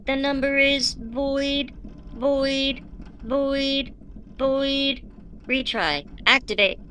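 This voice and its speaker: A woman voicing a glitching alien probe that repeats flat machine words, in a noisy audio drama recording. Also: sits high, drawn-out words, voice pushed up. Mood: mechanical.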